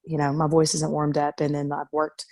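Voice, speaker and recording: A woman from Arkansas, a country singer-songwriter, chatting casually in an interview with a tired, raspy voice and lots of fillers. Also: low voice, monotone, moderate pace, a little mellow, no room sound, clean studio sound. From Arkansas, USA. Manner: chatty, laughs easily, many fillers. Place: sitting in bed at home.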